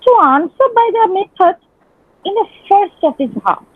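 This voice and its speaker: Woman as a very high-pitched, ardent young envoy. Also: animated. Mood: courtly. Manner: declamatory, drawn-out, ardent. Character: ardent young gentleman envoy courting a lady. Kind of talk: dramatic reading of Shakespearean comedy. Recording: thin phone-like band, dry sound.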